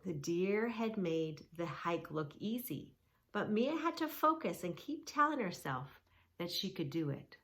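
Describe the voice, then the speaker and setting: A gentle woman reading a picture book to children and guiding them through yoga poses. She speaks slowly in a low, soothing voice. Her instructions are calm and clear. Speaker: kids yoga teacher and reader.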